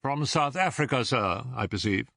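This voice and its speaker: A man reading an audiobook as a self-assured male detective, crisp, incisive and a little condescending. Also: mid-range voice, lively intonation, moderate pace, bright, forceful tone, no echo, clean studio sound, exaggerated voice. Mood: brisk, assured. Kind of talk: audiobook character dialogue.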